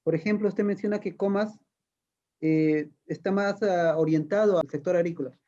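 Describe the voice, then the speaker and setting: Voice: medium pitch, moderate pace, warm tone, lo-fi sound. An interviewer asking a long, conversational question, hesitating and repeating words.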